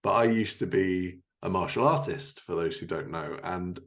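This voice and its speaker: A man's voice: sits low, moderate pace, gravelly, fairly bright tone. A man with a British accent hosting a podcast in casual, joking conversation. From Britain. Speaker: podcast host, fiction writer. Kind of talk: casual, joking interview chat.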